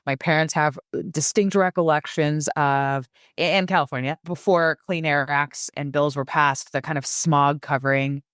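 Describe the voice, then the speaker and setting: A woman's voice: low-pitched, fairly even intonation, normal speed, few pauses, no echo, clean studio sound. A woman who grew up in San Francisco and Germany, a podcast guest talking casually and enthusiastically, often repeating words as she starts a phrase. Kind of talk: casual interview talk.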